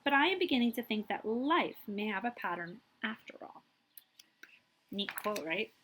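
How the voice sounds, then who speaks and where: A woman's voice: a little high, fairly bright tone, poor audio. A woman reading a picture book aloud like a children's librarian, emphatic and drawing out key words, with brief asides to her listeners.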